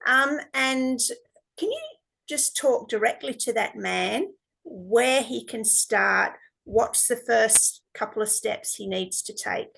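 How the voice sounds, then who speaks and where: A woman's voice: fairly high pitch, animated, slow pace, creaky, a bit bright, breathy edge, rough recording. A woman hosting an interview, speaking in a reflective way with spiritual phrasing. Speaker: podcast host. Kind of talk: casual interview talk.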